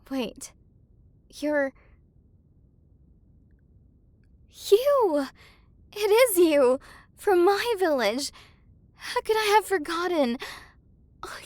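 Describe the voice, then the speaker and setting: A woman's voice: sits high, no room sound. A woman playing a proud, flustered tsundere warrior queen with a secretly tender side, in an intimate ASMR roleplay. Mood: suspicious, flustered, then excited, delighted. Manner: haughty but flustered, softening into intimate murmurs. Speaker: voice actor. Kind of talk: ASMR romantic roleplay acting.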